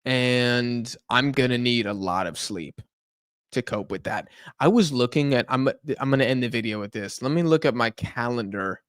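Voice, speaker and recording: A man's voice: medium pitch, normal speed, clean tone, no echo, clean studio sound. Tired young man rambling on a live stream. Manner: chatty, rambling, answers chat questions. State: tired, losing his voice. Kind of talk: casual live stream chat. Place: home room in Argentina.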